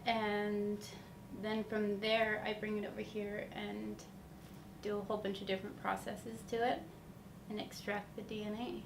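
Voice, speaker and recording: A woman from Washington State describes her lab work casually and a little haltingly. Her pitch barely moves. The room echoes and clear noise runs behind her. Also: little pitch movement. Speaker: student researcher. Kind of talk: casual interview.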